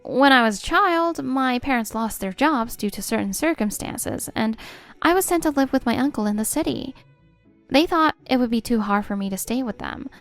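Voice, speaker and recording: Woman acting gentle, high-voiced young employee. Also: lively intonation, moderate pace. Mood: wistful, tender. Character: sincere, gentle young female restaurant employee. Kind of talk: manga voice acting. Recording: music behind the voice.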